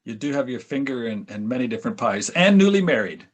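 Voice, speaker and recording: A man hosting a casual discussion and putting questions to guests in a jocular, halting way. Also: mid-range voice, big pitch swings. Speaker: discussion host. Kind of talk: casual chat, interviewing guests.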